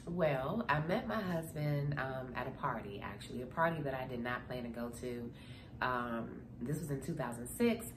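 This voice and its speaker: A woman with a stuffy nose chats with her viewers in a low, relaxed voice, spinning stories. She is recorded indoors on a camera mic, and the room echoes. A steady noise hums behind her. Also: moderate pace. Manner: chatty, talks to viewers as "girl". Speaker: vlogger answering viewer questions. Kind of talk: casual chat, storytelling. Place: indoor room, seated before framed print.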